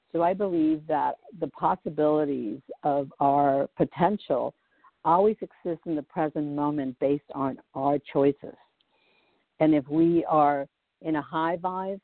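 A woman who works as an intuitive healer explains her work in an interview, speaking in a measured way and often checking in with the listener. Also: sits low, no echo. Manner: measured, checks in with "okay?" and "does that make sense?". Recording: tinny phone-like line, dry.

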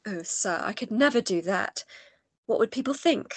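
A woman acting a polite but firm young Victorian gentlewoman in a radio play, with a proper, wry manner. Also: mid-range voice, lively intonation, normal speed, slightly rough, dry recording. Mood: wry, coy.

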